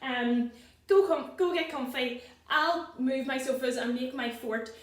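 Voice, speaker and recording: A woman chatting casually and gently to children as a storyteller. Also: high voice, fairly even intonation, unhurried, echoey room. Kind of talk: casual chat to children, reading a picture book aloud.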